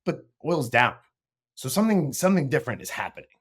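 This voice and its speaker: A man co-hosting a casual market discussion, animated and full of trailing restarts. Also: medium pitch, fast talker, slightly rough. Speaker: podcast co-host.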